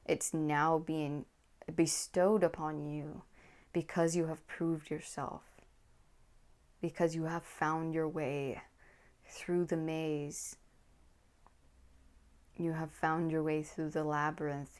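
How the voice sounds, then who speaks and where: A woman with a low voice giving a tarot card reading, speaking slowly and soothingly and often dropping into a whisper, close to the mic. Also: darkish tone. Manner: slow, soothing, drops into whispers. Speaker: tarot reader.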